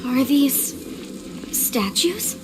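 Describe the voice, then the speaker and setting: A woman's voice: high voice, big pitch swings, extremely slow, background noise, heavily acted. A woman playing an earnest, proud young archaeologist in a video game, speaking primly. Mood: curious.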